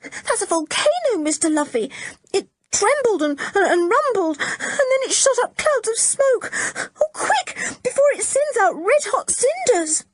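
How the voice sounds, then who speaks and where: A woman's voice: big pitch swings, normal speed, darkish tone, no echo. Woman doing a panicked shrieking little girl. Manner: breathless, high and panicky. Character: frightened young girl, excitable and imaginative.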